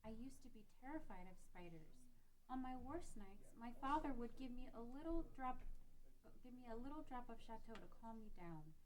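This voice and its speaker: A woman's voice: a little high, lively intonation, normal speed, natural voice, rough recording. A woman playing a worried, haunted ranch woman in a fantasy story table read. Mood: wistful.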